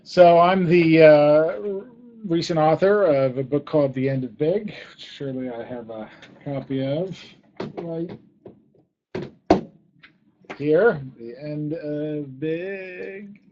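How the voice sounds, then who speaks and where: A man's voice: on the high side, takes it slowly, a little mellow, lo-fi sound. A man speaking as an interview guest and author, explaining things conversationally with emphatic repetition. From born in Ghana, grew up in US embassies in Southeast and East Asia.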